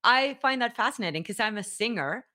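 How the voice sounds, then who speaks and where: A woman's voice: a little high, animated, a little fast, sharp tone. A woman hosting an interview, a singer herself, speaking eagerly and affirming her guest. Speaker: host and singer. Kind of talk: interview conversation.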